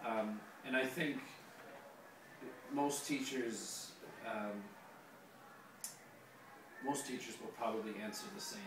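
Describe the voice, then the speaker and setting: A hoarse man, a teacher being interviewed, answering casually with many pauses and the odd dry joke. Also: medium pitch. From Canada. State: hoarse, losing his voice. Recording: very echoey room, distant mic, noisy.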